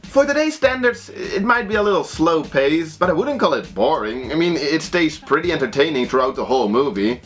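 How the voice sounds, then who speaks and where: A man's voice: a little high, big pitch swings, brisk, few pauses, a bit bright, faint music behind. A man from the Netherlands with a Dutch accent, a native Dutch speaker reviewing horror movies in English with wry, self-deprecating asides. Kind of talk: scripted movie review commentary.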